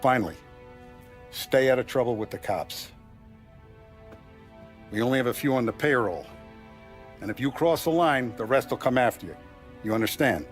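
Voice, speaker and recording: A man voicing a measured mafia boss. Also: mid-range voice, lively intonation, close to an everyday voice. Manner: measured, lecturing. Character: calm authoritative mafia boss, businessman. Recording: faint music behind.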